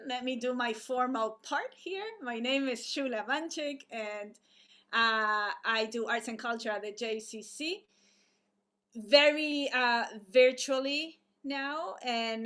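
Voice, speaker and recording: A woman hosting an online arts event over a video call, introducing a guest in a halting way with many fillers and restarts. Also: fairly high pitch, pushed and bright.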